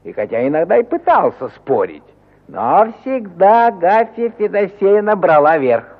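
Male narrator for vintage radio literature: higher-pitched, theatrical delivery swinging from exclamation to hush, dull lo-fi sound. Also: big pitch swings, unhurried. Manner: theatrical, swinging between loud exclamation and hushed suspense. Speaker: narrator of an audio play. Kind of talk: expressive dramatic literary narration, 1950 Soviet radio. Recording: dull, lo-fi 1950 radio recording.

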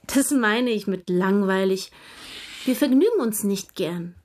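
A woman's voice: mid-range voice, animated, unhurried, a little mellow, lightly acted. An actress playing a restless, guarded young woman in a radio drama, earnest, probing and direct in her speech. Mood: wry, probing.

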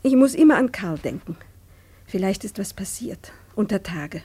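An actress playing an anxious, brooding middle-aged wife and mother in a radio drama, worried and hushed. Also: mid-range voice, expressive, moderate pace, husky. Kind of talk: German radio play acting.

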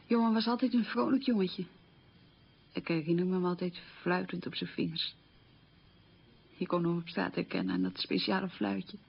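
Radio drama role: haunted woman recalling wartime hunger, very breathy with gravelly creak, reflective, halting delivery. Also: lowish voice, muffled recording, heavily acted. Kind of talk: radio documentary-drama monologue, reminiscing.

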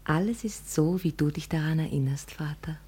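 An actress playing a young, devoted and grieving daughter in a vintage radio drama, with a husky, often whispery voice. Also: female voice, low voice, normal speed, mellow. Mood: tender, reassuring. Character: grieving, devoted daughter humouring her deluded father. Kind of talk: 1950 German radio drama acting.

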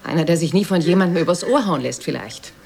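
A woman's voice: on the low side, expressive, fast, vocal fry, a crowd in the background. A woman playing a dreamy, languid character musing idly in a radio play, drawling and dry. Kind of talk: German radio play dialogue.

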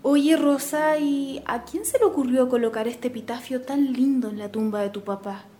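Woman acting an earnest, high-voiced Chilean daughter. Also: moderate pace, warm tone, soft background music, close to an everyday voice. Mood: friendly, settled. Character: earnest, idealistic young daughter of a rural landowner. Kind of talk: radio drama acting.

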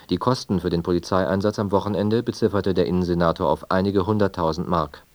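A man reading a scripted radio news and weather bulletin in standard German from Germany, formal and measured. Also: sits low, a little mellow, no echo. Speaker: radio newsreader.

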